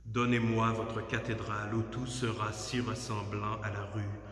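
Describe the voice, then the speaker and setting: Guy reading poetry out loud in a big echoey church, lowish voice, pretty even tone, solemn and measured. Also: faint music behind. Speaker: poetry reader. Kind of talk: solemn literary poetry recitation. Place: inside a church at night. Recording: very echoey church, long reverb.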